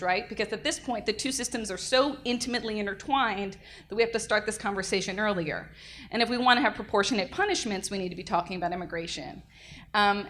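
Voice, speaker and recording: An energetic young woman lectures at a legal workshop, speaking loudly and briskly into a microphone. Her delivery is lively and direct, with a mid-range voice in a conference room. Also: mid-range voice. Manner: energetic, lively, self-described loud. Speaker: defense attorney giving a workshop talk. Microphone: handheld or podium mic.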